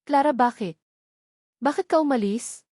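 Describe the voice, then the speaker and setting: Wounded, gentle husband, read by a woman. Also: high-pitched, normal speed, no room sound, clean studio sound, pitched above the performer's own voice. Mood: hurt, sorrowful.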